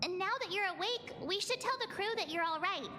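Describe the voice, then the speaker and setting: A woman's voice: very high-pitched, expressive, fast talker, big-room sound, background noise. A woman voicing a bubbly, friendly teenage girl adventurer in an anime game. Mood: bright, curious.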